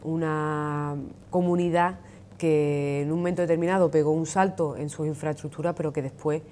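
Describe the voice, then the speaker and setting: A woman with a Sevillian Andalusian accent, a trade union leader giving deliberate interview answers with frequent fillers. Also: deep voice, fairly even intonation, dark tone, slight background noise. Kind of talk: measured political interview answers. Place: television studio.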